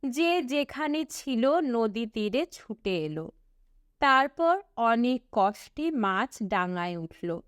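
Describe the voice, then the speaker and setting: Unhurried, clear-voiced woman reading a story. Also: a little high, big pitch swings, no room sound, studio quality. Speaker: audiobook reader. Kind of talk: scripted storybook narration.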